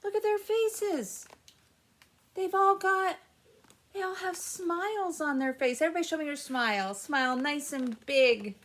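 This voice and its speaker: A woman's voice: high-pitched, measured pace, fairly bright tone. A woman presenting story time for young children, reading aloud in an exaggerated sing-song way and asking the children questions.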